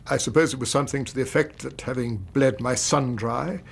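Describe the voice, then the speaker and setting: A man's voice: mid-range voice, creaky, slightly rough. An actor playing an anxious, upright older British banker and weary father, speaking formally in a radio drama. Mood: troubled recounting. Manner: formal, measured, distressed. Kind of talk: BBC radio drama acting.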